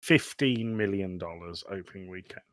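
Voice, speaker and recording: A man's voice: low voice, moderate pace, fairly bright tone, no room sound, clean studio sound. A man hosting a casual film review podcast, sweary and dryly joking. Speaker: podcast host.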